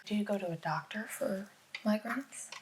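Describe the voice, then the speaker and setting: A woman playing a calm, patient detective in a soft-spoken ASMR roleplay, often dropping into a whisper as she gently probes and reassures. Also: mid-range voice, normal speed, background noise, lightly acted. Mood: gently probing.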